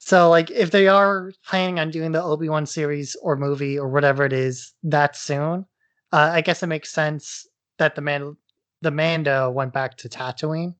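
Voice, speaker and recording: A man's voice: fairly high pitch, fairly even intonation, normal speed, a bit bright, smooth. Offhand male podcast co-host. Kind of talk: casual opinionated chat.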